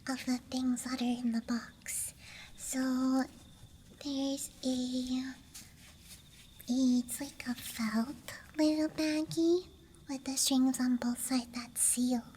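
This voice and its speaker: A woman with a high, girlish voice speaks very slowly in a soft, breathy whisper. She rambles gently and hesitantly in an ASMR style, close to the mic, with faint ambient noise behind her. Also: flat delivery. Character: friendly dice goblin hoarding her treasures. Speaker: ASMR performer. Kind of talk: whispered ASMR roleplay, rambling show-and-tell. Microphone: close ASMR microphone. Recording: close mic, audible ambient night sounds.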